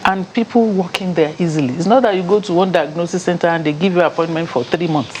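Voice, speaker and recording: A woman, a professor on a TV panel, giving measured advice. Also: fairly low pitch, darkish tone, slight background noise. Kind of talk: studio discussion.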